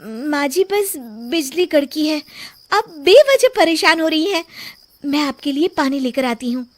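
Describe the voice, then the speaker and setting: A woman's voice: high-pitched, lively intonation, a little slow, pitched above the performer's own voice. A woman voicing a brave, caring young daughter-in-law in a cartoon, speaking in a respectful, reassuring manner.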